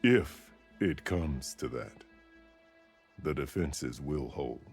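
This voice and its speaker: An extremely low-voiced war chief, speaks with solemn authority and ceremony. It is video game drama, with quiet music behind him. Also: male voice, lively intonation, slow pace, vocal fry, full character voice. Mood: resolute. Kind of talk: video game cutscene acting.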